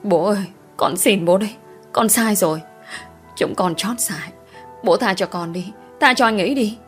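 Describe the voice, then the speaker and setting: A woman's voice: medium pitch, moderate pace, faint music behind, natural voice. A woman voicing a young village daughter who cries and begs her father for forgiveness. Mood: tearful pleading. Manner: tearful, begging.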